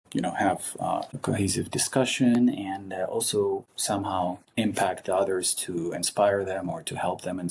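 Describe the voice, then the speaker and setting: A man from Ukraine with an Eastern European accent, speaking earnestly and haltingly as an interview guest. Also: deep voice, darkish tone, a little hiss or hum. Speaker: podcast guest, investment fund partner. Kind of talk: casual interview talk.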